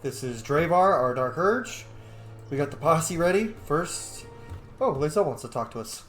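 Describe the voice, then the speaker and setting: A man's voice: on the high side, lively intonation, measured pace, fairly bright tone. A man hosting a casual video game roleplay commentary, slightly under the weather and a bit sniffly. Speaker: gaming channel host. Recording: roomy echo, faint game music behind. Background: faint game music.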